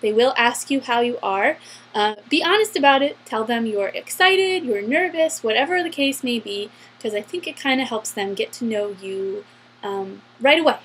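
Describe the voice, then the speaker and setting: A woman's voice: on the high side, animated, normal speed, a bit bright, a little hiss or hum, poor audio. A young adult woman giving casual, upbeat advice in a chatty vlog style, stretching words for emphasis. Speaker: vlogger giving interview advice.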